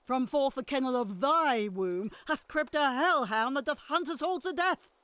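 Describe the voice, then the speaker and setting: A woman's voice: high voice, lively intonation, moderate pace, fairly bright tone, no room sound. A woman playing a bitter, vengeful old deposed queen in an audio drama, moving from hissed whispers to fierce curses. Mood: cursing. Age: older. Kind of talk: Shakespearean audio drama acting.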